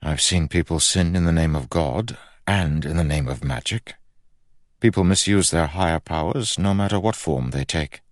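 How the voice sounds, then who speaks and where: A man voicing a calm, skeptical magician in an audiobook, with a dry, reasoned manner. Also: a bass voice, normal speed, dry recording. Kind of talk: audiobook character acting.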